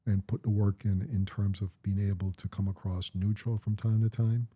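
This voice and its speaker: A middle-aged Jamaican man speaking in a very dark, mellow tone. His voice sits a little low, and he tells his stories in a measured, reflective way. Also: flat delivery, breathy edge. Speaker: interviewee, parent. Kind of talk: casual conversation.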